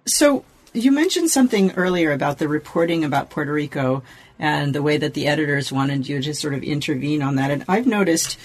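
Higher-voiced male interviewer. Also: a little fast. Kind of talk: conversational interview questioning.

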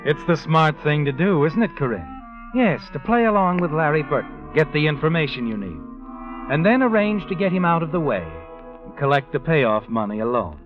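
Male radio-drama narrator, highish and insinuating, second-person storytelling, muffled vintage sound over music. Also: big pitch swings, normal speed. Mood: sly, knowing. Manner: insinuating, rhetorical. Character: knowing, insinuating narrator addressing the heroine.

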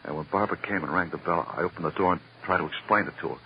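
An actor playing a desperate American murder suspect in a 1950s radio drama, halting and stammering insistently as he confesses. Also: low voice. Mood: desperate confessing. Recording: dull, noisy vintage radio recording.

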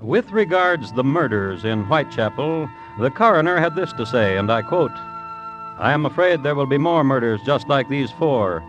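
A man hosting and narrating an old radio crime drama in a deliberate, dry, wry manner. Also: mid-range voice, lively intonation, music in the background. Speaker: host and narrator. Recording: dull old radio recording.

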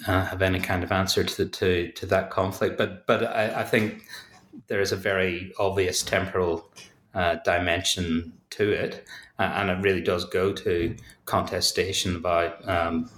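A man's voice: low-pitched, flat delivery, normal speed, a bit bright, roomy sound, slight background noise. A man with a Belfast accent, an author giving thoughtful, hesitant interview answers full of fillers and restarts. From Northern Ireland. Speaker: author and academic guest.

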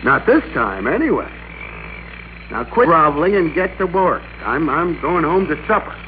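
On a muffled, humming old radio recording, a middle-aged American underdog who fancies himself the boss. His highish voice swings widely up and down, theatrical and emphatic, as in classic radio drama. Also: sing-song, moderate pace, background noise. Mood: gloating, threatening. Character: meek middle-aged office worker turned blustering, bossy master.

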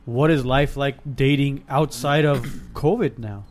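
A man's voice: medium pitch, unhurried, a little mellow. A man with an American accent hosting a casual podcast conversation. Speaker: podcast host. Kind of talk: casual chat.

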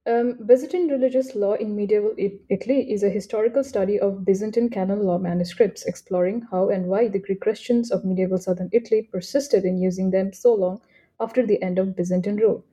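Dark, mellow woman hosting a podcast. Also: medium pitch, fairly even intonation, a little fast. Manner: reads steadily, small slips and restarts. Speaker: podcast host. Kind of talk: reading a scripted introduction.

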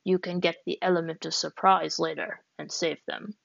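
A wise old uncle, as a woman puts him on, slow and low and gently reassuring. The sound is dry and close, with dull, lo-fi audio. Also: steady tone, creaky, no echo, full character voice. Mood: calm.

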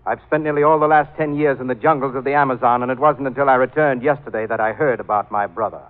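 An actor playing a polite, controlled villain bent on avenging his brother, quietly threatening in 1940s radio drama style on a tinny recording. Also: medium pitch, fairly even intonation, fast talker, close to an everyday voice. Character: coolly menacing, polite vengeful brother, man, controlling villain.

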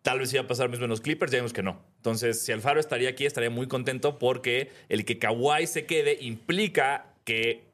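A Mexican man with a mid-pitched voice chats about sports on a podcast. He is informal and slangy, trading casual banter as a co-host. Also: brisk, hardly any pauses, a bit bright.

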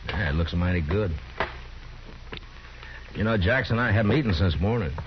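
A very deep, measured frontier marshal for a 1950s radio western. He is steady and fair, talking plainly and mildly. The old recording is narrow and somewhat noisy. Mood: calm, probing.

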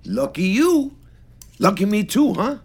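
An actor playing an exuberant middle-aged New shop owner and stoner in an audio drama, with a husky, gravelly voice and effusive, slangy patter. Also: male voice, high voice, very animated, a little slow, heavily acted. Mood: curious, eager. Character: exuberant, friendly neighborhood empanada-shop owner and stoner man. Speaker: actor in scripted fiction podcast.

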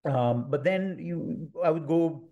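A man from Egypt, a professor of Old Testament, answering interview questions thoughtfully with many pauses and fillers. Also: a little high, dark tone, dull audio. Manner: thoughtful, many ums and uhs.

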